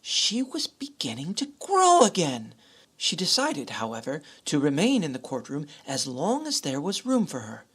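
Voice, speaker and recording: A woman with a husky, breathy voice narrating a storybook tale for a radio play. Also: deep voice, wildly swooping pitch, normal speed.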